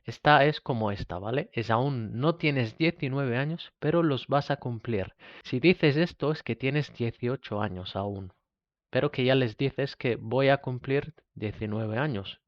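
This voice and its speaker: A man from Spain teaching a beginner Spanish lesson, slowly repeating each example phrase. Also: mid-range voice, no room sound, highs cut off.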